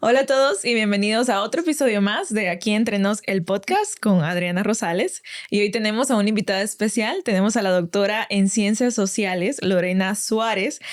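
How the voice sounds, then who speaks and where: A woman in her twenties from El Salvador, hosting a podcast interview in a friendly, polite way, often filling pauses with "este". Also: mid-range voice. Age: 20s. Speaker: podcast host. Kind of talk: casual interview chat.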